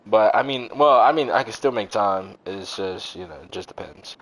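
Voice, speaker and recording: A man's voice: fairly low pitch, moderate pace, a bit bright, poor audio. A man chatting casually as a live streamer, rambling and swearing in loose slang. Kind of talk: casual gaming livestream chat. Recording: game music behind the voice. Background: video game music.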